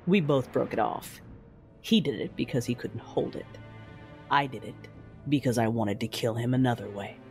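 A woman performs a grieving, vengeful Sith ruler telling her own story. She is solemn and menacing, with a cold measured delivery and music playing behind her narration. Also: a bass voice, darkish tone. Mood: cold fury. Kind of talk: dramatic first-person scripted narration.

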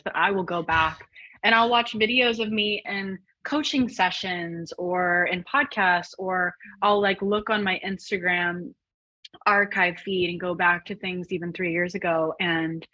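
Bright, breathy woman podcast guest. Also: fairly low pitch, unhurried, no room sound, muffled recording. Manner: expressive, animated speech. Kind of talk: casual interview chat.

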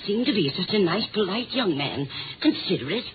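Kindly little old librarian lady, played by an actress, genteel and fretful, animated pitch, noisy vintage radio sound. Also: a little fast, narrow, tinny audio, natural voice. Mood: shaken. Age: older. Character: kindly little gray-haired librarian, older woman, chatty, fretful. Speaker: radio drama actor. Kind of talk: 1950s radio acting.

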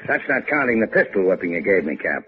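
An American actor playing a cool, wisecracking adventurer in a 1950s radio drama, with a sardonic, slangy delivery. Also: male voice, low voice, sing-song, fast. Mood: wry bargaining. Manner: sardonic, casual drawl with tough-guy slang. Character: wry, cool, wisecracking boat-owning hotel keeper adventurer. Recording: muffled, phone-like old radio recording.

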